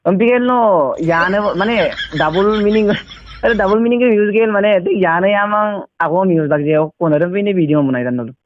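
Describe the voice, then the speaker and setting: A man chatting casually on the phone, speaking haltingly and mixing in English words. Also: high-pitched, muffled recording.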